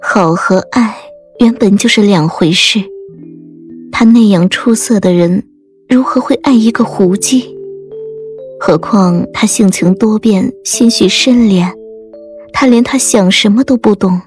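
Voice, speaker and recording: A woman's voice: mid-range voice, measured pace, faint music behind. A woman giving a scripted, dramatic reading of an audiobook. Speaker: audiobook performer.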